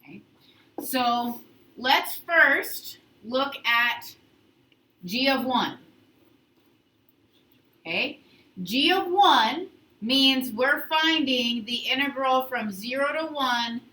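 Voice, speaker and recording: Echoey, bright-voiced woman teaching a class. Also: sits high, expressive, extremely slow, pauses often. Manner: instructive, checks understanding often with short prompts. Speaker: high school calculus teacher. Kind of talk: classroom teaching with questions to students. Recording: echoey classroom, distant mic, faint noise.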